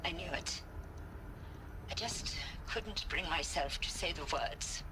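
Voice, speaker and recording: Zgorzkniała, zrozpaczona naukowczyni z gry. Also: female voice, lowish voice, takes it slowly, noisy background. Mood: resigned. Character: bleak, despairing woman scientist refusing to live on. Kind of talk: video game voice acting. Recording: music behind the voice. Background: game soundtrack music.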